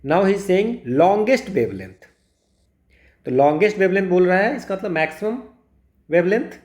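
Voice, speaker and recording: Man, Indian accent, physics tutor, higher-pitched voice, unhurried step-by-step explanation, Hindi-English mix, self-posed questions. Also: sing-song, darkish tone. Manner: mixes Hindi and English, asks himself questions then answers. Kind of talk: step-by-step exam problem walkthrough. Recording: echoey room.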